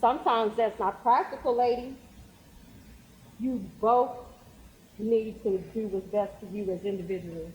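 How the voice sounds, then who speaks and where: A woman playing a caring but firm high school coach, giving emphatic advice in a stage play. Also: fairly even intonation, measured pace, long pauses, a little mellow, close to an everyday voice. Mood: firm, advising. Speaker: stage actor. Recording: very echoey, noticeable background noise.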